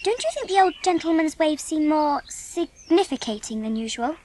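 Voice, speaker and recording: A woman's voice: high-pitched, normal speed, slight background noise. A woman playing an earnest, sensitive and slightly bossy English schoolgirl, an older sister, in a dramatised audiobook. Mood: puzzled. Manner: earnest, expressive.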